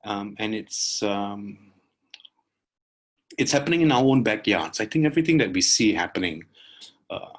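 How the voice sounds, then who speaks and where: A middle-aged man from Singapore joins a webinar on his laptop camera, his voice very deep. He speaks earnestly but haltingly, pausing often on uh as he builds his point. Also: expressive. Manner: halting, many uh fillers, earnest. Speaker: webinar participant. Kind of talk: spoken comment on a video call. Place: indoor room at home. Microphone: laptop webcam video call.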